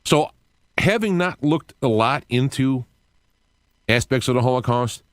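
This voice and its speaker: A man's voice: mid-range voice, lively intonation, unhurried, no echo. Older man, dry close-miked radio host. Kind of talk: unscripted radio monologue. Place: cluttered home radio studio, indoors. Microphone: close studio microphone with headset.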